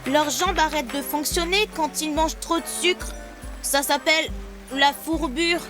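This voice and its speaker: A woman voicing a child, a quiet know-it-all, in an animated cartoon fan dub with a precise, explanatory manner. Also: high-pitched, a little slow. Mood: earnest, amused. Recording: loud music behind the voice.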